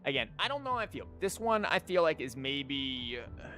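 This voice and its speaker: A man's voice: medium pitch, very animated, normal speed, sharp tone. A man giving casual livestream commentary on a game, rambling informally and thinking aloud with false starts. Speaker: video game streamer.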